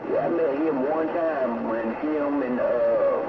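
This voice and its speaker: A man's voice: medium pitch, takes it slowly. A middle-aged or older man with a Southern US accent, chatting casually over CB radio in a folksy, rambling way. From American South. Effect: CB radio transmission. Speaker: CB radio hobbyist. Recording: tinny, narrowband CB radio with heavy static.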